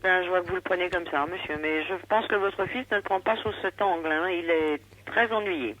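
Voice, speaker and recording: A patient, polite woman working for a helpline, gently reproving in a phone conversation heard over a dull line. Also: on the low side, brisk. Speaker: alcoholism prevention helpline worker. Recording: dull, dry telephone line.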